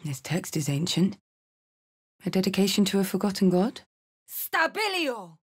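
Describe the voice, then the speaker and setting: Brash, expressive warrior woman. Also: mid-range voice, moderate pace, slightly rough. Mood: curious, watchful, then intense incantation. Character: brash, hot-headed woman warrior in a fantasy adventure. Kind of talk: fantasy video game character lines and spell calls.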